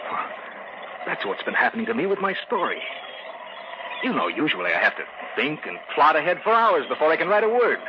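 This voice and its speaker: Earnest American male writer, highly animated mid-range voice, dramatic vintage radio horror, heavy background noise. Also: fairly quick. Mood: uneasy. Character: earnest male writer recounting an eerie tale. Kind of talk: 1940s-50s American radio acting. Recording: noisy, muffled old radio recording.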